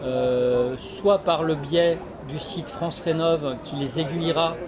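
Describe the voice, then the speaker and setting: A man explaining his association's work in an interview, halting often as he searches for words. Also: on the high side. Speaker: energy renovation association representative. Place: community associations forum in a sports hall. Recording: loud crowd hubbub at a fair. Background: loud crowd.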